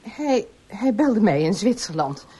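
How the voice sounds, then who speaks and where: Radio drama: guarded, troubled woman, husky, with wide swings in pitch. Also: sing-song, a little slow, warm tone, exaggerated voice. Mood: hesitant, troubled. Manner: hesitant, defensive. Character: anxious, guarded woman haunted by former lover. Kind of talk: Dutch radio drama acting.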